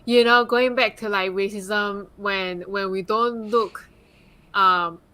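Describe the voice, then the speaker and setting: A woman's voice: medium pitch, steady tone, slow pace, pushed and bright, clean tone. A woman from Singapore speaking earnestly as a guest on a live panel discussion, with frequent "like" and "um" fillers. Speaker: guest speaker, consultant and advocate.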